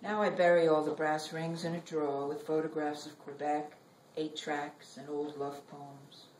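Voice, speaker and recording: A middle-aged woman giving a live poetry reading in measured, deliberate phrases with dramatic pauses. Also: low-pitched, flat delivery, darkish tone. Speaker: poet reading own work. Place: library reading room. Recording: roomy, noisy recording, distant from mic.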